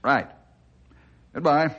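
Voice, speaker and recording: An actor playing an upright, steady forest ranger, earnest and authoritative, in an old-time radio adventure. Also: male voice, on the high side, very slow, muffled recording, exaggerated voice. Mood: calm, businesslike.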